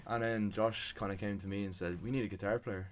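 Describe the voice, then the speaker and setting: Laid-back man, lowish voice. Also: fairly even intonation, fast talker, very noisy. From Dublin. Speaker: guitarist, interviewee. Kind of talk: casual interview chat.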